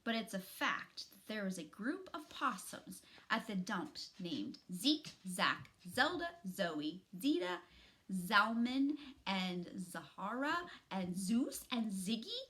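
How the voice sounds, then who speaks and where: Woman, middle-aged, children's story reading, slow pace, lively pitch swings, deliberate dramatic phrasing. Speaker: teacher reading aloud to students. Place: small furnished room at home, indoors.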